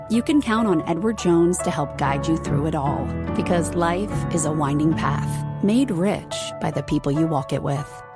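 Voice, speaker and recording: Soft-spoken female ad narrator. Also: lowish voice. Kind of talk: reflective commercial voice-over.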